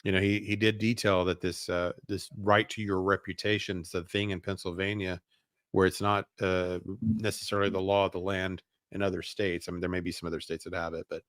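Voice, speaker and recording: A man's voice: low-pitched, flat delivery, fairly quick, a bit bright, no room sound, clean studio sound. A man hosting a show, reading documents aloud and adding casual commentary with hesitant asides. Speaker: show host.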